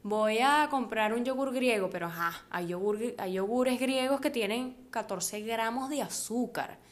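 A woman's voice: a little high, measured pace. A young woman with a Maracaibo Venezuelan accent, co-hosting a casual chat and laughing easily, with lots of "o sea". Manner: chatty, laughs easily, uses fillers like "o sea". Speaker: podcast co-host.

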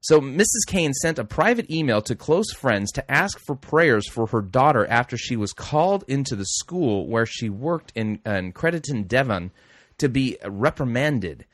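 A middle-aged male host talking casually on his program, trailing off and restarting with wry little asides. His voice sits at an ordinary pitch and sounds close and dry, with no room around it. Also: sing-song, normal speed, a bit bright, dry recording. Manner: rambling, self-correcting, wry asides. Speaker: radio host. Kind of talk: casual talk show commentary.

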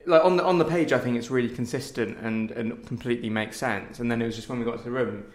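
A man's voice: mid-range voice, fast. A man talking casually and thoughtfully as an interviewed theatre director, often hedging as he goes. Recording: roomy, echoey sound.

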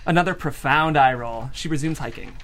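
A man's voice: fairly high pitch, fairly quick, a bit bright, a little hiss or hum. A man from the American Midwest hosting a table read and narrating the stage directions. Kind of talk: animated script narration.

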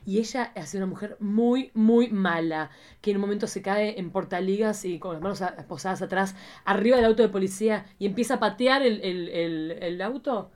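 A woman's voice: medium pitch, a little fast, hardly any pauses, darkish tone. An Argentine woman co-hosts a casual podcast. Her manner is campy and playful, full of exclamations and slang, as she chats in an easy, informal way. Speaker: podcast co-host. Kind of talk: casual, playful chat about cult cinema.

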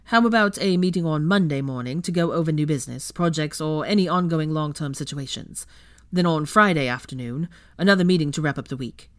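A woman's voice: low-pitched, fairly quick, no echo, studio quality, lightly acted. Woman doing an officious young wizard. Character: pompous young male wizard, eager to please.

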